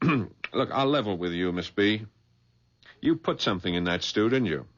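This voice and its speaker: An actor playing a smooth-talking private detective in a radio drama, easygoing and probing. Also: male voice, on the low side, animated, normal speed. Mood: confronting. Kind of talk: radio drama dialogue and first-person narration. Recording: dull vintage radio recording.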